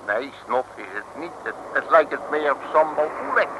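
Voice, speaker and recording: A man's voice: on the high side, animated, moderate pace, fairly bright tone, rough recording. An actor playing a cocky, bumbling working-class Dutch detective who blusters and muddles his words, in a vintage comic radio serial. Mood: muddled, self-assured. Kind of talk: 1950s-60s comic radio serial acting. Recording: hissy old radio recording.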